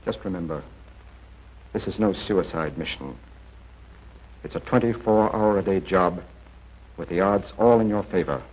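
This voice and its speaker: A man playing a seasoned, assured intelligence briefing officer in a 1940s training film, giving measured, plain-spoken advice. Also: low-pitched, darkish tone, close to an everyday voice. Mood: assured, advising. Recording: muffled 1940s film soundtrack.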